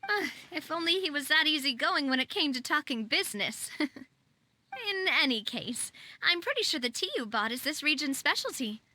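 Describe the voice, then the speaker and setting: A woman playing a chatty, polite, curious young woman in a video game, with a chirpy and expressive delivery. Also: high voice, moderate pace, no echo, heavily acted. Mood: amused. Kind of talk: animated video game voice acting. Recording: faint background music.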